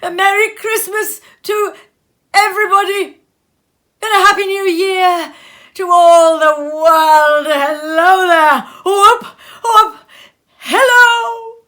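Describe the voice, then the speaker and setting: A woman's voice: extremely high pitch, fairly even intonation, takes it slowly, pushed and bright, pitched above the performer's own voice, full character voice. A woman reading a storybook aloud and voicing an elderly male miser, reformed and giddy with joy, who exclaims breathlessly and laughs through tears. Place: home sitting room.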